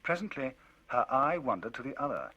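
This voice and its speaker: A man giving a dramatic, theatrical reading of a classic novel as the narrator of a vinyl audiobook, his pitch and loudness rising and falling widely. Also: big pitch swings, fairly quick, lo-fi sound. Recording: vinyl audiobook with music bed. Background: music.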